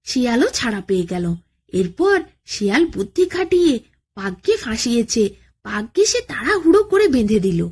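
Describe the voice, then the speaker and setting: A woman narrating a children's bedtime story in a dramatic, expressive storytelling manner. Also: a little high, moderate pace, husky. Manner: dramatic, expressive, with rhetorical questions.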